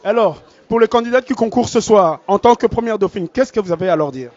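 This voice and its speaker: A man's voice: high-pitched, lively intonation, fast talker, a little mellow, some chatter behind. A man interviewing guests at a beauty pageant gala for a web channel, upbeat and formal. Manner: upbeat, formal, repeats announcements. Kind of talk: live red-carpet interviews at a gala. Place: crowded gala event hall. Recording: muffled lo-fi event audio.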